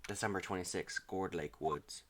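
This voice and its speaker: A woman's voice: extremely low pitch, normal speed, heavy background noise. A woman streamer reading a video game's text aloud during a live stream.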